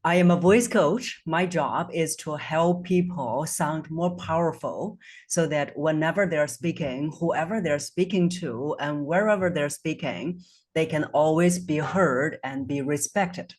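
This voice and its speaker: A woman in her forties, a voice coach based in Singapore, answering interview questions in a measured, earnest way, over a video call. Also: low voice, darkish tone, slight background noise.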